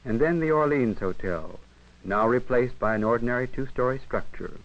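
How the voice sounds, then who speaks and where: An American man narrating a 1950s educational film in a measured, formal, literary manner. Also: mid-range voice. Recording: dull 1958 film soundtrack, radio-like.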